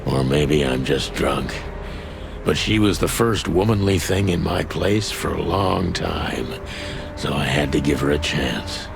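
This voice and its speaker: Gravelly, very deep noir rooster detective. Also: male voice, a little slow, heavily acted. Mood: wry, brooding. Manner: world-weary, deadpan, hardboiled. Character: weary cynical aging rooster private detective, film noir. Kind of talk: noir first-person narration. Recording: studio voice under loud music.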